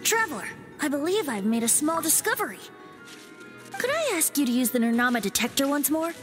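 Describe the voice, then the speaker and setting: A woman's voice: high-pitched, very animated, fairly quick. A woman voicing a youthful, perceptive bard in a video game, speaking in a light, polite, knowing way. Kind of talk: English video game voice acting. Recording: background music under dialogue.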